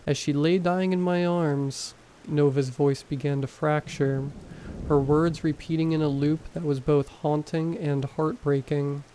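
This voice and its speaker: Man calmly narrating horror stories. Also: on the high side, a little hiss or hum, low-quality recording. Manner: calm, measured storytelling. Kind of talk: scripted narration.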